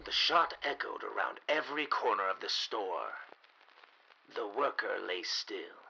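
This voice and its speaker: Man narrating radio mystery, lively pitch swings. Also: vocal fry, highs cut off, noisy background. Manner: dramatic storytelling with deliberate pauses.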